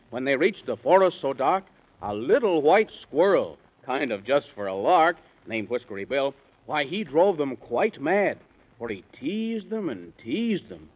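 A man's voice: a little high, very animated, a little slow. A man narrating a 1930s children's radio serial in theatrical, sing-song rhyme. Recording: dull, lo-fi 1930s radio recording.

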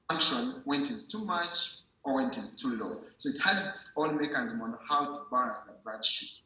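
A man's voice: mid-range voice, pushed and bright. A man giving an informal medical explanation in a didactic way, repeating himself and checking that the listener understands. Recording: very echoey room.

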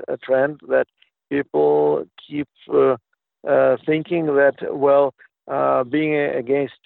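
Gravelly man on a tinny line. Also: mid-range voice, no room sound. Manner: halting, many fillers and restarts. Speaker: political analyst guest. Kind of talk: interview answers.

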